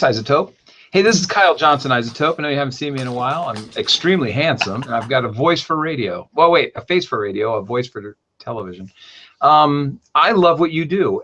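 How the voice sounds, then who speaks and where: A man hosting a podcast, talking in a rambling, animated way and often correcting himself mid-sentence. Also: medium pitch, muffled recording. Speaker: podcast host. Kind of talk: casual podcast chat.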